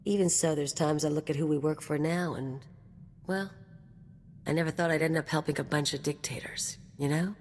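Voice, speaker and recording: A brash mercenary woman acted by a woman from a video game, with a low-pitched voice. She swaggers and teases in slangy, casual talk. The sound rings with hall reverb over background noise. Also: steady tone, moderate pace, a little mellow, lightly acted. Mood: reflective, wry. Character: brash, cocky female anarchist mercenary companion.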